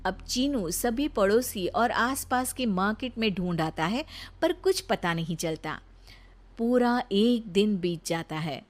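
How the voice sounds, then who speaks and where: A woman narrating a cartoon story in an even storytelling manner. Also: medium pitch, takes it slowly. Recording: faint music behind.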